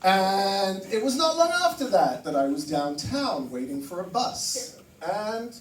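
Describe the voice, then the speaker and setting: Animated stand-up man from Barbados. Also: unhurried, roomy sound, distant mic, background noise. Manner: animated, swearing, voice jumps high for punchlines. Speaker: stand-up comedian. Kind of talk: stand-up comedy set. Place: comedy club stage with audience. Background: audience laughter.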